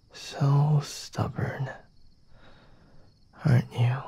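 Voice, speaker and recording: A man as a breathy, half-whispering flirty vampire. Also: fairly low pitch, warm tone, exaggerated voice. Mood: amused, resigned. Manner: slow, deliberate, formal wording with sighs and hums. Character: flirty, condescending, merciful male vampire, self-assured and teasing. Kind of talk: ASMR roleplay.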